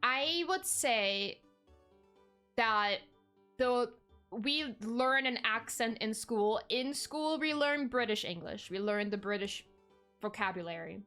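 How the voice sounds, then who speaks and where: Smooth-voiced young woman streaming. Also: on the high side, slow pace, sharp tone, soft background music. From Germany. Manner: chatty, rambling, frequent ums and self-corrections. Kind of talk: casual chat with viewers.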